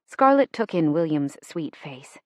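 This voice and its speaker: A woman reading fiction as an audiobook narrator in an expressive, measured, dramatic storytelling style. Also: deep voice, dry recording, clean studio sound.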